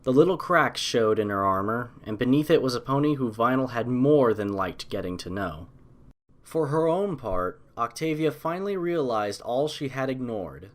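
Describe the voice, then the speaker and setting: A man narrating a scripted story as an audiobook reader, in a measured, expressive storytelling manner. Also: mid-range voice.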